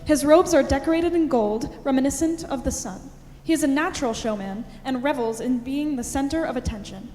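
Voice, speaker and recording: A woman's voice: high voice, fairly quick. A woman reading scripted stage directions as narrator in a reverberant auditorium. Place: large indoor high school auditorium. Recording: echoey auditorium with loud piano music. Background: loud piano music.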